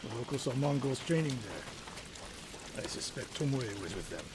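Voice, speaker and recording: An actor playing a stern, proud older samurai archery master in a video game, speaking in curt, commanding phrases. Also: male voice, mid-range voice, animated, normal speed, background noise, natural voice. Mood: grave. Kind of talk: dramatic video game acting.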